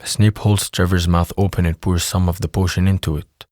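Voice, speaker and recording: A man's voice: low-pitched, fairly even intonation, normal speed, darkish tone, no room sound, studio quality. A man reading scripted third-person narration for an audio drama.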